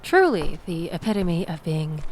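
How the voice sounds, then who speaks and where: A woman's voice: lowish voice, a little slow. Wry young woman acted, ambient noise behind. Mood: wry, deflated. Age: young adult. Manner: wry, sarcastic, animated storytelling with sighs and laughs. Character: young woman venting sarcastically after a bad date. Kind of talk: intimate audio roleplay, conversational monologue to listener.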